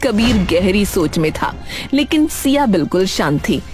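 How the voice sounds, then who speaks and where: A woman's voice: mid-range voice, big pitch swings, a little slow, over music. A woman narrating a scripted cartoon story.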